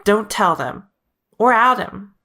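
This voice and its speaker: A woman's voice: mid-range voice, animated, slow, bright, forceful tone, full character voice. A woman playing a frank, worried teenage heroine in an audio drama, direct and persuasive in conversation. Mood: pressing.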